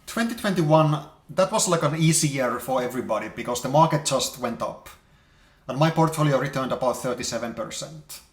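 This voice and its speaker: A man's voice: medium pitch, animated, a little fast. Man presenting in an echoey room. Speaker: investor presenting market outlook. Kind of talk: explanatory presentation with charts. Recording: roomy, echoey sound.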